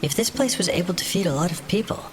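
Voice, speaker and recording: A woman's voice: on the low side, lively intonation, fast talker, close to an everyday voice. Woman acting curious half-elf adventurer lady, explains stuff, very up and down melody, fantasy audio drama. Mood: thoughtful.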